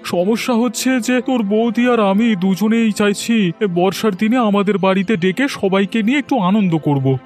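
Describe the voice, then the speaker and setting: Man as a high-pitched, earnest poor village husband. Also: normal speed, hardly any pauses, darkish tone, soft background music, lightly acted. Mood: troubled hopeful. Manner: pleading, hesitant. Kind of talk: cartoon story voice acting.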